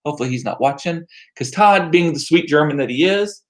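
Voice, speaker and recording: Middle-aged man, American accent, higher-than-average pitch, informal host chatter, talkative, meandering, self-deprecating. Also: animated, a little fast. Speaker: livestream host. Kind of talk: casual chat to live viewers. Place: small home room used as studio.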